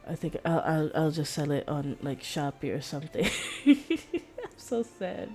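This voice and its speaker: A woman's voice: deep voice, big pitch swings, a little slow, warm tone. A woman streamer chatting casually while gaming, in a rambling, giggly way.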